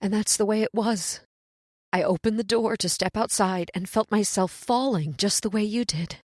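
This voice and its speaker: A woman plays a doomed, fatalistic castaway in a vintage radio horror play. She speaks solemnly and portentously at a middling pitch, as one who bears dreadful truths. The sound is close and dry. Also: normal speed, dry recording, lightly acted. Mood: ominous. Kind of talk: old-time radio horror acting.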